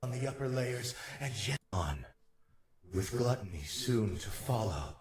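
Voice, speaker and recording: An actor playing a wrathful, righteous angelic warrior in a video game, with a gravelly voice, echoing reverb and grand archaic declamation. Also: male voice, medium pitch, animated, a little slow, a crowd in the background. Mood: menacing, solemn. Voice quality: raspy, gravelly. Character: wrathful righteous male holy warrior, zealous avenging angel. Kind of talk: dramatic video game villain monologue.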